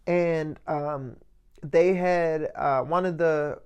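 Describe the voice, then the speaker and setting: A man with a light, soft voice giving a casual motivational monologue as a vlogger, often checking in with the listener. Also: on the high side, steady tone, very slow, clear, resonant tone. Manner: conversational, checks in often with "right?" and "you know".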